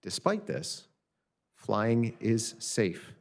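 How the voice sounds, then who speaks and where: Low-pitched man giving formal testimony. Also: big pitch swings, extremely slow, vocal fry. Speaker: expert witness from a government audit office. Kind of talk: formal prepared testimony read aloud. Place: government hearing room.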